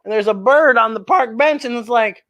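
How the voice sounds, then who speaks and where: A woman hosting a children's story time, talking and reading playfully to young kids, with exaggerated exclamations, drawn-out words and questions to the listeners. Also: a little high, fairly bright tone. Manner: draws out words for emphasis. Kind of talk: playful read-aloud and chat to young children.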